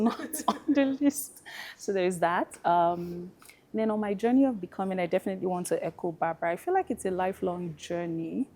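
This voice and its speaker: A woman's voice: fairly low pitch, dark tone. A woman with a Nigerian accent, an easygoing panel guest who talks about her work and life with light laughs. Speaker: fintech founder, panel guest. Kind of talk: relaxed panel conversation.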